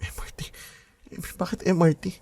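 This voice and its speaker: A man's voice: moderate pace, warm tone, no room sound, in a whisper, lightly acted. Flustered young man, polite then exasperated, dry close studio sound, played by a man. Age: young adult. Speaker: son. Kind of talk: Filipino radio drama acting.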